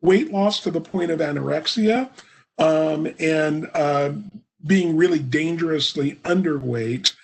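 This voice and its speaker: A hoarse man giving a webinar lecture, his voice sitting a bit high for a man and sounding husky and rough. He explains things at a measured pace, with frequent "uh" and "um" fillers as he goes. He speaks into a computer microphone. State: hoarse, coughing. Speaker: presenter.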